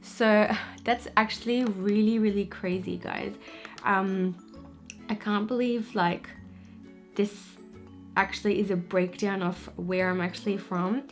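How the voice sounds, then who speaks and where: A woman's voice: mid-range voice, fairly even intonation, slow pace. A young woman from Pakistan vlogging casually to camera, chatty and full of fillers like "um" and "you know". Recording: echoey room with faint music.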